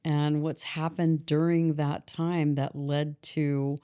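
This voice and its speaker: Low-voiced woman telling her story. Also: little pitch movement, mellow, clear, resonant tone. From Boston, grew up in San Diego. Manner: reflective, halting, with restarts and fillers. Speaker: guest. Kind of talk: personal story in a podcast interview.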